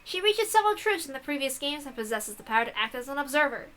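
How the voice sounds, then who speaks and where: A woman's voice: high-pitched, very animated, fast talker, fairly bright tone. A woman hosting a game playthrough, reading text aloud and adding playful, casual asides. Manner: playful, giggly, swearing asides.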